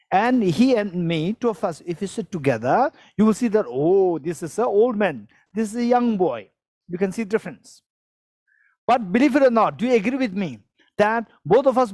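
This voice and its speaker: A man's voice: high-pitched, lively intonation, normal speed, a little mellow, no room sound. A man, giving a Buddhist teaching to a live audience, repeating phrases, asking for shows of hands and chuckling as he explains. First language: Tibetan. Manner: repetitive, questions the audience, chuckles while explaining.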